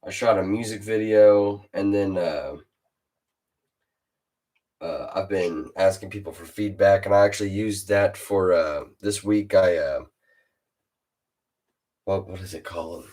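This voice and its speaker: A teenage boy with a very deep voice, hosting a casual chat with a fellow rapper and hesitating often. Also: measured pace, long pauses, a little mellow. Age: teens. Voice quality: super deep voice. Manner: hesitant, lots of uh and restarts. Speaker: host interviewing a fellow rapper.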